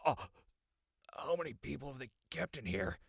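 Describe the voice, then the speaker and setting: An actor playing a rattled captive survivor in a post-apocalyptic audio drama, muttering halting notes into his recorder in a gravelly, husky voice. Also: medium pitch, expressive, no room sound, clean studio sound. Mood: panicked. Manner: halting, muttering to himself, exclaiming.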